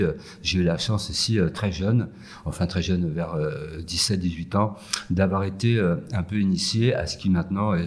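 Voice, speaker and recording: A man from France with a very low, husky voice talks slowly through interview answers. He hesitates constantly, fills pauses with "euh" and restarts his phrases. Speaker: guest, food entrepreneur. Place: empty yoga room with echo.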